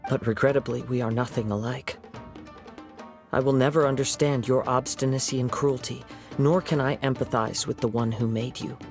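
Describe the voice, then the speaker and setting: An actor playing a calm young male wandering samurai in a game, measured and poetic. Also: mid-range voice, vocal fry, close to an everyday voice. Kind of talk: game voice acting.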